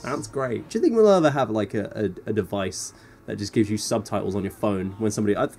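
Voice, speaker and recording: A man's voice: on the low side, lively intonation, quick pace, gravelly, a little mellow. A man co-hosting a let's play, chatting casually and jokingly over the gameplay in a rambling way.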